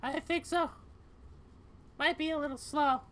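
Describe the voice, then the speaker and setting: A young female cat from a cartoon game, acted by a woman, with a very high voice. She is stubborn but shaken, and her words come out halting and weary. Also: steady tone, fairly bright tone. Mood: resolute, weary. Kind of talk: amateur video game voice-over.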